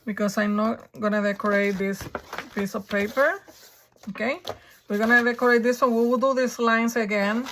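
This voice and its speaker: A woman with a raspy, hoarse voice from a coming flu, chatting her way through a crafting tutorial and thinking aloud as she works. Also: a little high, fairly even intonation, normal speed, fairly bright tone, slight background noise. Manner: chatty, thinking aloud while working, frequent ums. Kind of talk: casual step-by-step crafting tutorial.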